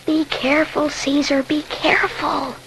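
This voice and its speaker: A woman's voice: high-pitched, little pitch movement, a little slow. A woman playing an earnest, fearful young boy who narrates and acts in a 1940s radio horror drama. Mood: frightened. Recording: slightly noisy 1940s radio recording.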